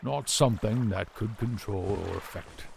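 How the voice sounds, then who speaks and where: A man playing a learned, patient older mentor in a fantasy audio drama, speaking in a measured, formal, scholarly way. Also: fairly low pitch, gravelly, darkish tone, a little hiss or hum.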